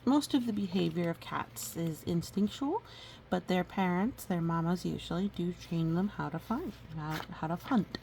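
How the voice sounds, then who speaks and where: A woman reading a storybook aloud gently and adding educational asides. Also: lowish voice, animated, moderate pace, creaky, low-quality recording. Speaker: storybook reader. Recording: faint background noise.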